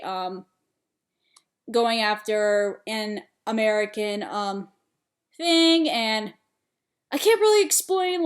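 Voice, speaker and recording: A young woman vlogging a casual book review, chatty with lots of fillers and some exasperated asides. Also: a little high. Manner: chatty, frequent ums, rising exasperation.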